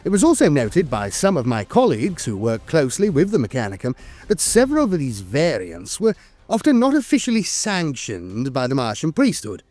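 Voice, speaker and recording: A man reading scripted lore narration as an in-world chronicler, formal and measured, with frequent hesitations. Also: fairly high pitch, darkish tone, no echo.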